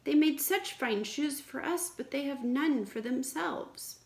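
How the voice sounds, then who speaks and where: Female reader as practical fairy-tale wife, encouraging, deliberate, sometimes hushed, roomy sound. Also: sits high, pitched above the performer's own voice. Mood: eager.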